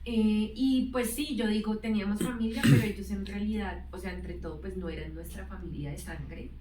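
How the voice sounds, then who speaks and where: A woman's voice: mid-range voice, moderate pace, a loud crowd. A woman in her thirties, a native English speaker, telling a personal testimony as a guest, with many hesitant fillers. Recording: roomy, distant mic, faint background noise.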